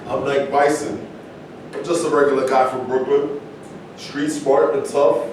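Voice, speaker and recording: A man's voice: little pitch movement, measured pace, close to an everyday voice. A man playing a blunt, street-smart ex-boxer from Brooklyn in a staged play reading. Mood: plain, tough. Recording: echoey distant room recording, noisy rumble.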